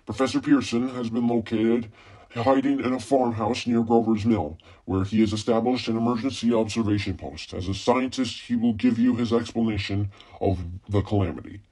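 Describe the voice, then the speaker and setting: A man playing an earnest radio news announcer in a radio play, formal and measured. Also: medium pitch, fairly even intonation, dark tone, slightly rough, close to an everyday voice. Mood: urgent, composed. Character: earnest male radio news announcer breaking grave bulletins. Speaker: amateur radio play performer. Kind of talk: radio news bulletin acting.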